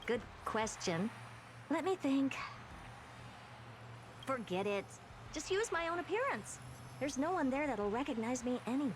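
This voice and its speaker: A woman's voice: high-pitched, very animated, measured pace, long pauses, noisy background. A woman voicing an imperious, self-assured female scholar in a video game, commanding and impatient. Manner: commanding, theatrical, self-satisfied.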